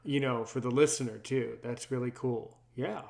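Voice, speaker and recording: A man's voice: medium pitch, steady tone, normal speed, vocal fry, darkish tone. A man hosting a podcast conversation, speaking in an earnest, emphatic way and often repeating his phrases. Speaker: podcast host. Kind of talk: conversational interviewing.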